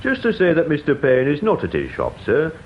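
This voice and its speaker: An actor playing a deferential, long-winded police sergeant in a radio drama, formal and pedantic. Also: male voice, fairly high pitch, huge pitch swings, fairly quick. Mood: deferential, pleased. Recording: dull, hissy radio drama recording.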